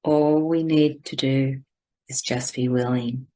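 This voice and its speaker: A woman leading a guided spiritual meditation in a gentle, deliberate, instructing manner. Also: a bass voice, fairly even intonation.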